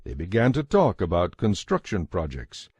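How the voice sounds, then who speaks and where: A man giving a measured, solemn scripted narration of a dramatized Bible. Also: sits low, huge pitch swings, darkish tone, slightly rough, no room sound. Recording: narration over a music bed.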